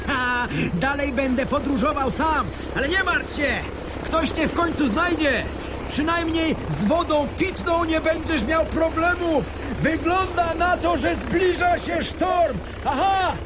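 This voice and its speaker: A man acting in a radio play as a cornered gangster, mocking and easily panicked, with an excitable, taunting delivery. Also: very high voice, measured pace, music in the background, rough recording. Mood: gloating.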